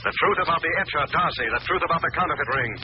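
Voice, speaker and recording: A man playing an unseen, menacing avenger who taunts and interrogates slowly and mockingly in an old radio drama. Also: fairly high pitch, voice pushed up, natural voice. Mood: relentless interrogation. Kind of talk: 1940s radio acting. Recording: muffled, noisy old radio recording.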